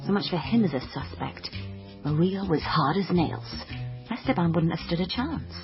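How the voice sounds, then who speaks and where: Headstrong young female detective played by an actress, melodramatic and wry, very animated delivery, slightly muffled radio drama sound. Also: on the low side, moderate pace, over music, lightly acted. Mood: wry narration. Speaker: lead character and narrator. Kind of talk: comic radio drama with wry noir-style narration.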